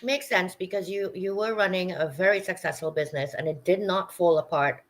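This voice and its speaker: A woman's voice: fairly low pitch, a little fast. A woman hosting an interview podcast, talking frankly and casually with curious follow-up questions. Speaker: podcast host.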